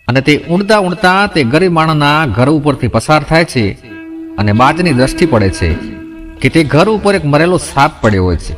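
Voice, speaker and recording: A man narrating a scripted moral folk tale as a storyteller. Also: a little high.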